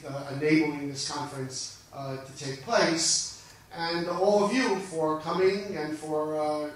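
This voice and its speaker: A man in his sixties, the conference organizer, giving a measured, enthusiastic welcome and introducing the first speaker, with frequent fillers, into a handheld microphone in a large hall. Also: a little high, a little hiss or hum. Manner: fluent but filler-heavy, measured, enthusiastic. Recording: echoey hall, picked up from a distance.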